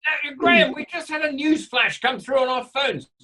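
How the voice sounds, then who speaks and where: An urgent club member, played by an amateur male actor, tense and very piercing. The man's voice is high, delivered as radio play acting. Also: moderate pace, pushed and bright. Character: urgent club member breaking bad news.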